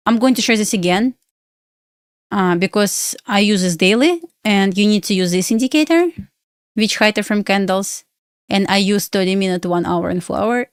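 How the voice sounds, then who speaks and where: A woman's voice: medium pitch, clear, resonant tone, no echo, clean studio sound. Bubbly woman. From Belarus. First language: Russian. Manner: bubbly and giggly, with loose non-native grammar. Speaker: futures day trader streaming live. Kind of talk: casual live stream chat.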